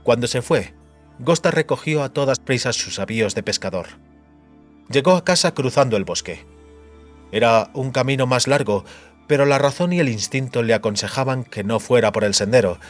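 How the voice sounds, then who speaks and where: A man's voice: mid-range voice, moderate pace, faint music behind. A man reading scripted fantasy narration as an audiobook narrator.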